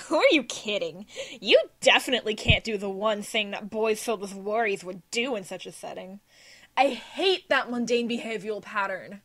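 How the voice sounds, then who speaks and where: A woman's voice: medium pitch, normal speed, fairly bright tone, no echo, natural voice. A woman playing a bossy, brash, headstrong teenage schoolgirl club chief in an audio drama, with a commanding manner. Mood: teasing, provocative. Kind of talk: animated audio drama acting.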